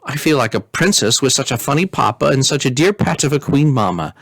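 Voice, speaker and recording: A man's voice: mid-range voice, expressive, a little fast, gravelly, a bit bright, no echo, voice pushed up. A man voicing a playful, flippant teenage princess in a storybook, airy and teasing.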